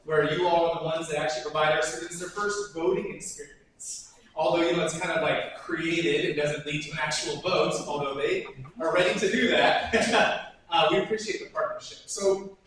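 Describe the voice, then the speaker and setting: An energetic male principal talks to a civic group, his voice a touch high and upbeat, laughing between points. He sounds far from the mic in a very echoey meeting room, with faint noise underneath. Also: moderate pace. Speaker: school principal.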